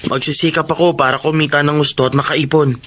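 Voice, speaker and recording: A man's voice: on the high side, steady tone, fast, fairly bright tone, a little hiss or hum, lightly acted. A man playing a remorseful young father. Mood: earnest. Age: young adult. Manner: pleading, earnest. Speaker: narrator and lead in radio drama. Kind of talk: radio drama acting. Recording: music bed under voice.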